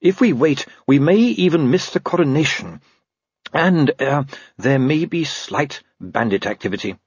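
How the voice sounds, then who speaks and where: A man's voice: on the high side, expressive, measured pace, slightly rough, no room sound, voice pushed up, heavily acted. A man voicing a formal, deferential male guide who worries about delays. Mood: urgent. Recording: dull dry recording.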